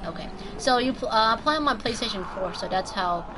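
A woman's voice: a little high, lively intonation, fairly quick. A woman from Taiwan doing casual live gameplay commentary, chatting with viewers and swearing mildly. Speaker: game streamer. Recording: game noise behind voice. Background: video game sounds.

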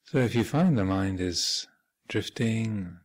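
A man speaking as a meditation teacher, giving measured guided instruction with thoughtful pauses and gentle phrasing. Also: lowish voice, lively intonation. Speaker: Buddhist monk and meditation teacher leading a retreat. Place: meditation hall at a retreat center.

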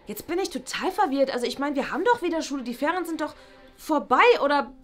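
A woman voicing a vain, excitable schoolgirl who talks in quick, indignant bursts in a toy play series. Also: high voice, soft background music. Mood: confused.

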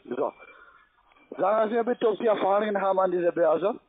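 A man's voice: high-pitched, fairly quick. A man, working as a call center agent on a telephone call. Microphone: phone call. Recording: tinny narrowband phone line.

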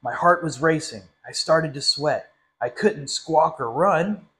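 A young man reading a children's picture book aloud in an animated, friendly way, as at storytime in a small classroom. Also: a little high, unhurried. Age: young adult. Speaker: literacy council director reading a story.

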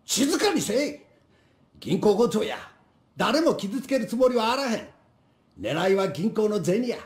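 An older actor playing a stern yakuza-style bank robber in a game, curt and commanding, with a Kansai accent. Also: male voice, high-pitched, big pitch swings, brisk. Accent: Kansai Japanese. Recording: roomy echo.

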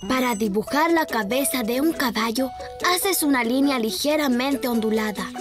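A woman's voice: high voice, moderate pace, natural voice. A woman voicing a kind little rabbit boy in a cartoon dub, patiently explaining how to draw. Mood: gentle. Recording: cartoon music underneath.

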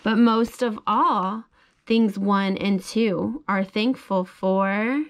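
A young mother reading a picture book aloud to her toddler at bedtime, slow and sing-song, asking coaxing questions about the pictures. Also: medium pitch, steady tone. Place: child's bedroom at home. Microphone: phone held above the reader's lap.

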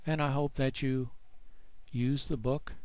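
A man narrating a screen tutorial as the author, explaining plainly in a measured way. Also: medium pitch, little pitch movement, a little mellow, dry recording. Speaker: author presenting his own book.

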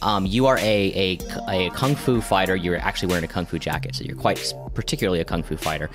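Low-voiced man explaining casually over music. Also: fast talker. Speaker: podcast co-host.

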